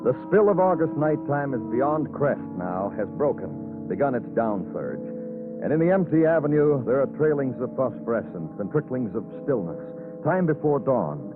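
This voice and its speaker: An actor playing a world-weary police lieutenant, narrating an old radio noir drama with solemn, deliberate phrasing. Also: male voice, medium pitch, sing-song, close to an everyday voice. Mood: brooding, poetic. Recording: tinny old radio recording, music behind.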